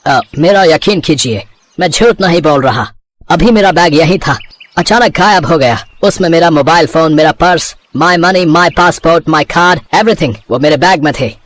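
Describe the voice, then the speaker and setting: A man's voice: on the high side, a little slow, a bit bright. An actor playing a polite, earnest foreign businessman in a cartoon moral story, hesitant and mixing in English phrases. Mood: flustered, pleading.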